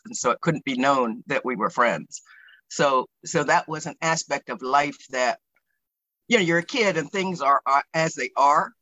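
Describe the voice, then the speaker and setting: An American man in his sixties from Pennsylvania, recalling his life in an interview in a reflective, hesitant way, pausing to choose his words. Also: medium pitch, bright, forceful tone, dry recording, low-quality recording. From Pennsylvania, United States. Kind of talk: oral history interview, personal recollection.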